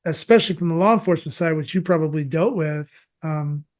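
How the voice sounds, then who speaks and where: A man's voice: on the high side, a little fast. American man hosting a casual, thoughtful interview. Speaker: podcast host.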